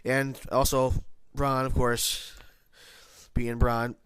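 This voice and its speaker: A man talks through his TV episode review in a casual, rambling way, stopping often to restart. His voice is nasal and stuffed up from hay fever, yet it stays smooth and clear. The sound is dry and close, with no room echo. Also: mid-range voice, flat delivery, slow pace, fairly bright tone. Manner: rambling, many restarts and self-corrections.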